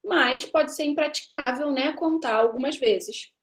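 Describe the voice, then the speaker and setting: A woman's voice: high voice, measured pace. A woman in her thirties from Brazil giving a live classroom lecture, chatty and teasing with her students, often checking in with them. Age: 30s. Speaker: university lecturer.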